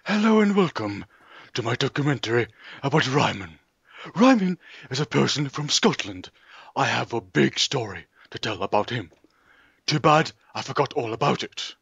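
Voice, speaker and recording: A husky, mock-serious documentary presenter, played by a man for a comedy sketch. He is pompous, deadpan and absent-minded. The sound is dry and slightly muffled. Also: mid-range voice, normal speed, no room sound, heavily acted. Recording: dull, lo-fi voice recording.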